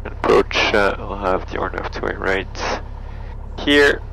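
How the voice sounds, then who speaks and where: Low, gravelly man pilot in a cockpit. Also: measured pace, fairly bright tone, low-quality recording. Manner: clipped, thinking aloud in short phrases. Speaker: private pilot briefing and flying a small plane. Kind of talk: procedural cockpit briefing and checklist talk. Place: small airplane cockpit. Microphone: aviation headset. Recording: engine and cockpit noise behind voice.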